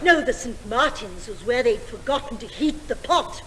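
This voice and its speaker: A woman's voice: on the high side, animated, moderate pace, a loud crowd, close to an everyday voice. A woman playing a genteel, dithery older lady, polite and earnest, in a 1950s stage comedy sketch. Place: large theatre stage. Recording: very noisy 1950s theatre recording.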